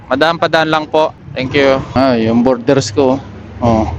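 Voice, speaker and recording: A man's voice: medium pitch, measured pace. A man chatting casually as a motorcycle vlogger, giving unscripted running commentary into a helmet intercom mic. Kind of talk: casual vlog chat while riding. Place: outdoors on a motorcycle in city streets. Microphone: helmet intercom microphone. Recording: helmet mic with clear traffic noise. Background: vehicles and outdoor street noise.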